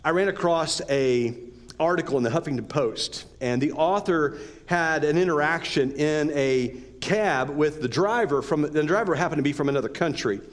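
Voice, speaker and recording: Higher-voiced American man preaching conversationally. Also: normal speed. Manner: repeats rhetorical questions and checks in with "right?". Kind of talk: conversational sermon.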